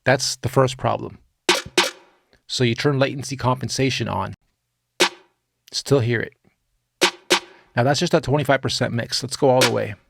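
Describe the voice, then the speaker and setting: A man narrating a software tutorial in an informal, opinionated way, like a music production teacher talking through his steps. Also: medium pitch, normal speed, creaky, long pauses, slight background noise.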